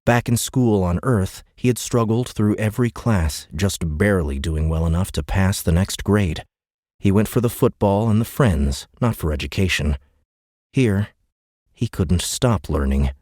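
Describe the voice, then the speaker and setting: A man's voice: deep voice, expressive, moderate pace, darkish tone, no room sound, clean studio sound. A man reading a science fiction audiobook in scripted dramatic narration.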